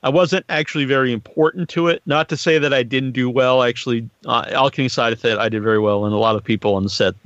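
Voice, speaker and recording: A middle-aged man hosting a podcast in casual, joking chat, talking in a rambling, halting way with crude humour. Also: mid-range voice, steady tone, fairly bright tone, no echo. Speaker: podcast host. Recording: muffled lo-fi online stream audio.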